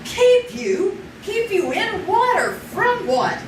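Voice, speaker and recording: A woman's voice: very high voice, big pitch swings, slow, exaggerated voice. A woman playing a playful, motherly housewife in a live old-time radio drama. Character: warm, fussing mother of a teenager. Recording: roomy, distant live stage recording with noise.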